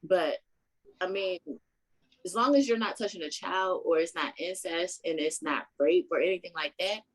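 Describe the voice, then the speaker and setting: A woman's voice: lowish voice, moderate pace, low-quality recording. A woman co-hosting a podcast, giving frank, blunt opinions in casual chat. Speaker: podcast co-host.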